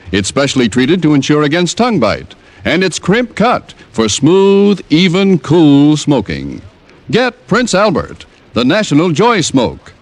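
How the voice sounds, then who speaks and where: Old radio announcer guy, fairly high voice, very lively, reading sponsor copy and credits. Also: no echo.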